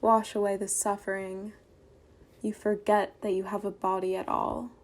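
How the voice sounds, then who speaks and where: A woman poet reading her work aloud in a soft, quiet, measured voice over a video call from home. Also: mid-range voice, little pitch movement. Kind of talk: poetry reading.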